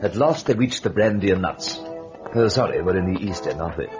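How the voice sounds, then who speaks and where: A man's voice: sits low, expressive, fairly quick. A man giving suspenseful, dramatic story narration for radio in a theatrical, rhetorical way. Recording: dull old radio recording, music behind. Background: music.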